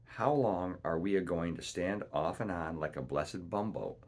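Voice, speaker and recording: A man's voice: low voice, normal speed. A man voicing a growling, impatient, mutinous pirate coxswain in a storybook, grumbling in rustic seafaring speech.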